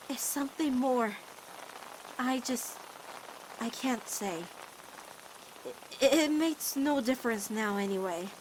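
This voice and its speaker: A woman voicing an anguished, devoted teenage girl in an emotional cartoon fan dub, with dramatic, halting, pleading delivery. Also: high voice, bright, forceful tone, slight background noise, exaggerated voice, poor audio. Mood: withdrawn, ashamed. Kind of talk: emotional animated-series fan comic dub.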